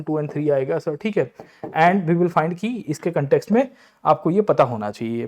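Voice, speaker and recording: An Indian man with a fairly high, soft and mellow voice works through exam questions like a coaching teacher. He explains point by point, talks directly to his students and often checks in with short tags. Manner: explanatory, addresses listeners as sir and brother, checks in with tag words. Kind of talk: lecture-style exam question analysis, Hindi mixed with English.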